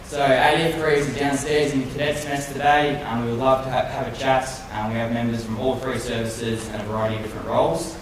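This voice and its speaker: A man's voice: medium pitch, level tone, fast, hardly any pauses, a crowd in the background. An Australian man from careers staff making a friendly, hesitant announcement with many fillers. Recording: echoey hall, distant mic.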